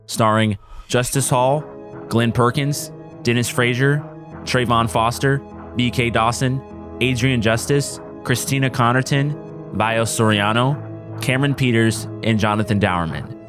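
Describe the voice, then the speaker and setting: A man's voice: mid-range voice, fairly even intonation, a little slow, music in the background. A man reading scripted podcast credits as an announcer.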